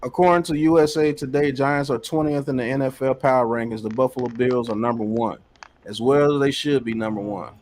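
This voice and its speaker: A man's voice: medium pitch, a little fast, slight background noise. A man hosting a casual sports podcast, reading out chat comments and arguing his points emphatically. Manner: animated, emphatic, repeats phrases. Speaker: podcast host.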